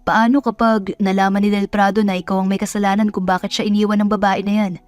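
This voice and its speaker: A woman's voice: mid-range voice, flat delivery, fast talker, a little mellow, soft background music, lightly acted. A woman voicing a blunt, sensible friend in an audio drama, matter-of-fact and admonishing. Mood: cautioning.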